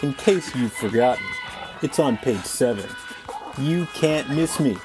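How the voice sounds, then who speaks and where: A woman voicing a self-important yellow crayon, smug and insistent, in a storybook read-aloud. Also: very deep voice, animated, measured pace, music in the background.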